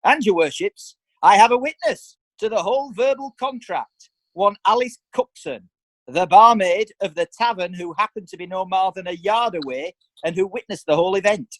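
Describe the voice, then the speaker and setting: A man's voice: high voice, normal speed, no echo, poor audio. A man playing an indignant medieval lawyer who argues in a pompous, theatrical way. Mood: scornful. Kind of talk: amateur radio drama.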